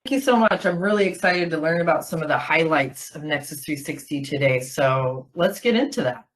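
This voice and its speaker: A woman with a low-pitched voice hosts a webinar. She speaks as the moderator introducing a presentation. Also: lively intonation, brisk, poor audio. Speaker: webinar host. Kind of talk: webinar hosting.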